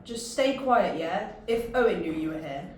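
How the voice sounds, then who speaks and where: A woman's voice: medium pitch, expressive, moderate pace, a little mellow, heavy reverb, slight background noise, natural voice. Woman doing an exasperated army sergeant. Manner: exasperated, brusque.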